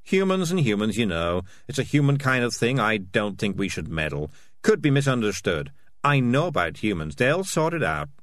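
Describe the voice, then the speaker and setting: A man's voice: medium pitch, sing-song, moderate pace, a bit bright, no echo, voice pushed up. Man doing a shifty, bluffing storybook tomcat. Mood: evasive. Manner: hesitant, bluffing, stammering excuses. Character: shifty, evasive, self-serving male talking cat.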